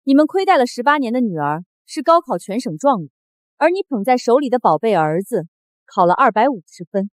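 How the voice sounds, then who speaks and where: A resolute, defiant girl of eighteen from a short drama. She speaks fast in a high voice, pointed and proud. The recording is dry. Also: very animated, no room sound. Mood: cool, triumphant. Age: young adult. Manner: pointed, challenging. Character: wronged, defiant eighteen-year-old daughter, proud and resolute.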